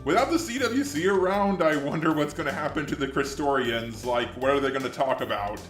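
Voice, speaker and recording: A man's voice: a little high, a little fast. Male commentator with a robot voice, echoey sound, reading scripted opinion pieces. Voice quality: synthetic robotic voice. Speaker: video commentator. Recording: echoey, music underneath. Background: background music.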